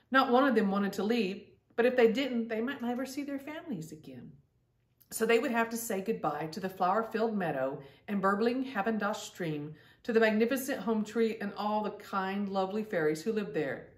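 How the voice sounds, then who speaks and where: Middle-aged woman reading aloud, roomy sound. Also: medium pitch. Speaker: storytime host reading aloud. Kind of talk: read-aloud children's storytelling. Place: indoor room at home. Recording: roomy, distant-mic home recording.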